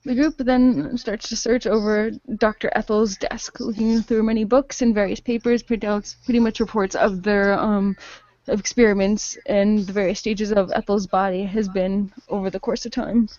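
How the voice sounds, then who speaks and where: A woman narrating an improvised role-play game, haltingly making it up as she goes. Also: medium pitch, steady tone, a little mellow, no room sound, highs cut off.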